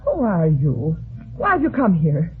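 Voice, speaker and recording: A woman playing an agitated grieving widow, halting and pleading, in an old-time radio detective drama. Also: low voice, wildly swooping pitch, slightly rough, full character voice. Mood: wary, agitated. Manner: halting, breathless protests and repeated denials. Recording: tinny band-limited vintage radio broadcast.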